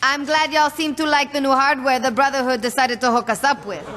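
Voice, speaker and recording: A woman's voice: high-pitched, steady tone, brisk, natural voice. An actress playing a tough, hard-edged female gang leader, blunt and no-nonsense, in a video game. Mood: rallying, vengeful. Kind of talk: video game cutscene acting. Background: clear music.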